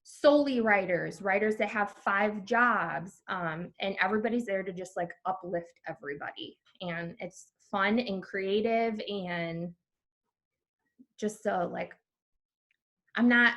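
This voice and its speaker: A woman on a video call speaks in a heartfelt, gushing way, full of fillers. Her pitch sits in the middle of the range. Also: a bit bright. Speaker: guest reader. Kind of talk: casual video call chat.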